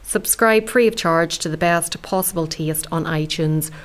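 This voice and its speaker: A woman's voice: sits low, normal speed. An Irish woman hosting a radio food show, chatting with guests and reading links.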